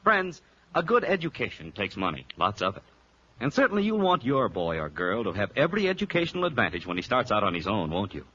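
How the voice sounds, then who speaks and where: A man's voice: fairly low pitch, wildly swooping pitch, quick pace, pushed and bright, slightly rough. A man reading scripted 1940s radio announcements in a polished, persuasive salesman's manner. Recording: muffled, faintly noisy vintage radio recording.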